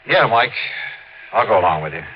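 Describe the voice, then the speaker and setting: An actor playing a shrewd, skeptical American investigator with a wry, questioning manner in a 1950s radio drama. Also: male voice, lowish voice, very animated, fairly quick. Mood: probing. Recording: dull, noisy 1950s radio recording.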